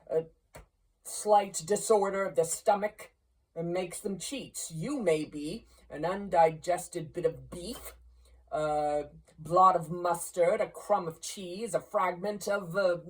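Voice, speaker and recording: Woman playing a loud, blustering, frightened old miser. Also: fairly low pitch, slow, recorded from a distance, low-quality recording. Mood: scornful, defiant. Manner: blustering, then pleading and fearful. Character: old male miser, blustering then terrified of a ghost.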